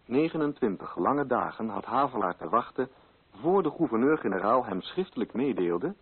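An actor as a measured, ironic male storyteller, giving formal, deliberate radio drama narration in a husky voice. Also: medium pitch, very animated. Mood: measured, grave. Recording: muffled, phone-like old radio recording.

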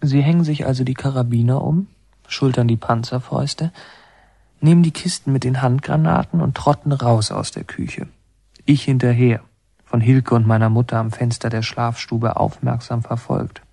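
An actor playing a reflective son who narrates a war story in a radio drama, measured and literary. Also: male voice, mid-range voice, fairly even intonation, vocal fry, warm tone, slightly rough, no echo. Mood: observant.

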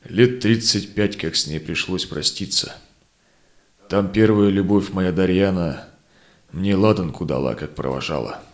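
Solemn seasoned Cossack explorer, higher-set voice. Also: male voice. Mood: wistful. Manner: solemn, declamatory, measured rhymed delivery. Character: seasoned Cossack ataman explorer, honorable, sorrowful, longing for home. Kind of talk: Russian verse audio drama acting.

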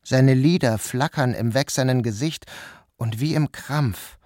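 A man giving calm, measured first-person narration of a literary story. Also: medium pitch, no room sound, clean studio sound. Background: music.

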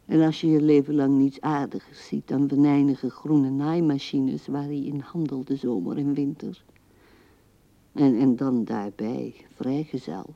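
A woman's voice: rumbling low, steady tone, a little slow, vocal fry, full character voice. An actress playing an old widowed woman who muses tenderly and drifts as she talks to herself in a radio play, on a dull older recording. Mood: wistful, amused. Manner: musing, drifting, talking to herself and the absent.

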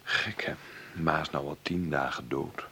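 An actor playing a wry, protective grown son in mourning in a radio drama, gruffly affectionate in manner. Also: male voice, rumbling low, unhurried, pushed and bright, full character voice. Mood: gently insistent. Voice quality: gravelly, husky. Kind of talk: naturalistic radio drama dialogue.

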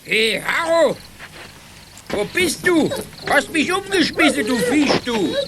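An actor playing a gruff, suspicious old watchman who grumbles and scolds in a German children's radio play. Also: extremely high pitch, big pitch swings, slow, full character voice. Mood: angry. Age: older. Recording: very noisy radio play recording.

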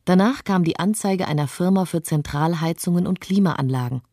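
An actress playing an observant, composed wife, telling a personal account in articulate, scripted radio documentary narration. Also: female voice, lowish voice, moderate pace, dark tone, dry recording, clean studio sound. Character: observant, composed wife recalling her flight from disaster.

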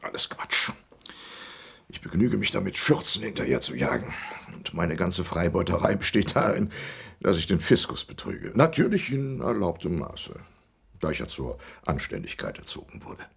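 An actor playing a small, eccentric old wealthy yacht owner in a radio crime drama, rambling theatrically and repeating himself. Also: male voice, deep voice, very animated, moderate pace, vocal fry, a bit bright, husky, heavily acted. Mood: wistful, bitter. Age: older.

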